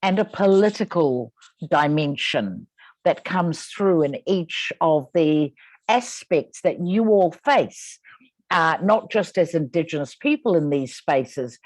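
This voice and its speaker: A woman moderating a webinar panel, summarizing the speakers' points in a measured, articulate way. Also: deep voice, a little mellow, no room sound.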